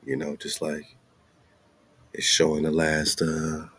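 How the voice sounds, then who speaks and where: A man's voice: extremely low pitch, monotone, slow, fairly bright tone, slightly rough, dry recording. A man hosting a show, reading aloud and commenting casually with informal, slangy asides. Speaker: radio show host.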